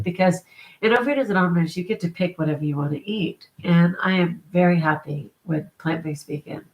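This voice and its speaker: A woman's voice: lowish voice, darkish tone, low-quality recording. Congested woman chatting casually on a live stream. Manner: chatty, upbeat, laughs between remarks. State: congested with a cold. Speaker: vlogger.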